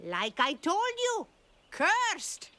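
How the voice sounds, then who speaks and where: A woman voicing a sly, confident young girl in an animated children's cartoon, with expressive, matter-of-fact delivery. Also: extremely high pitch, extremely slow, full character voice. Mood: spooky, earnest.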